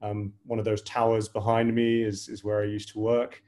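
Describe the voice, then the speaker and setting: A man's voice: on the low side, completely flat delivery, moderate pace, warm tone. A middle-aged man introducing himself as an investor on a remote panel. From Hawaii, United States.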